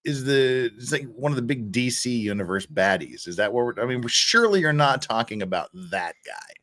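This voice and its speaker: A man chats casually as a livestream host. He speaks in a halting, tentative way, as if thinking aloud, and his pitch moves around a great deal. The sound is very dry. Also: lively intonation, fairly bright tone, dry recording. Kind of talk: casual chat.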